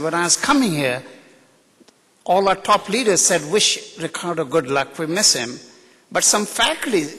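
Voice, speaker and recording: Man with Indian accent, husky bright voice, candid joking podium speech, long hall reverb. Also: fairly high pitch. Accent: Indian English with British and American influences. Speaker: guest speaker at a ceremony. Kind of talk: unscripted ceremonial tribute speech. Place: large hall. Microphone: podium microphone.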